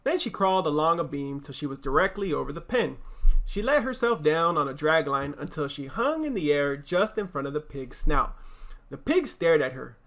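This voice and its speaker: A man's voice: on the high side, sing-song, moderate pace, a bit bright. A man reading a children's story aloud as a teacher, with casual asides.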